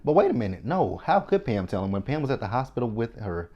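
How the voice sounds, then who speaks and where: A man's voice: medium pitch, very animated, fast talker, dark tone, dull audio. A man from Texas with a Texan accent, casually recapping a TV episode in a chatty, sassy, opinionated way, talking straight to his viewers. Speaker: TV recap host.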